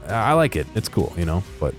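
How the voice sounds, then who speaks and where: Low-voiced man, hesitant game commentary. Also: big pitch swings, fast talker, faint music behind. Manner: hesitant, rambling, understated. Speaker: video creator commentating on game exploration. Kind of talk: casual unscripted commentary over game footage.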